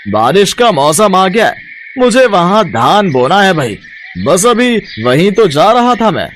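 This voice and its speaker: A man playing a stingy, grumpy village headman, dismissive and insistent, in an animated story. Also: sits high, measured pace, slight background noise, heavily acted. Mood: annoyed refusal.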